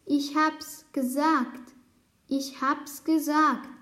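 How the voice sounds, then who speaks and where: Woman as storybook crow, grumpy, bossy, impatient, high-pitched, slow delivery, nagging exclamations. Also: fairly even intonation, fairly bright tone, exaggerated voice. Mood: cross, insistent. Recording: roomy, echoing sound.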